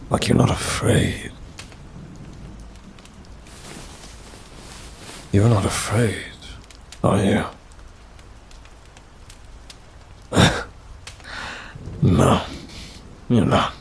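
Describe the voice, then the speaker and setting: Casting: man to play a wry demon for ASMR roleplay, deep male voice, unhurried, bored and condescending, rain behind. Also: creaky, a little hiss or hum, exaggerated voice. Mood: taunting, menacing. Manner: dry, languid, drawling, faintly mocking. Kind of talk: ASMR roleplay, intimate scripted monologue.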